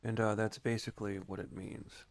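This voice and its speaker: A man's voice: lowish voice, level tone, moderate pace, creaky. A man giving casual commentary as he plays a space flight game, with short role-play voice commands.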